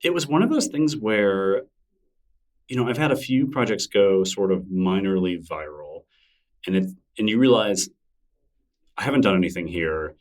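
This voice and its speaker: A man's voice: lowish voice, expressive, moderate pace, long pauses, far from the mic. A man answering interview questions in a casual, enthusiastic way, laughing easily as he talks. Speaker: podcast guest, newsletter creator.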